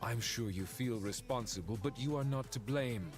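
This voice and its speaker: A man's voice: mid-range voice, normal speed, natural voice. A man playing a dignified, loyal spirit leader in a video game, with formal, stately speech. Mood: consoling. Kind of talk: scripted video game dialogue. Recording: game music behind the voice.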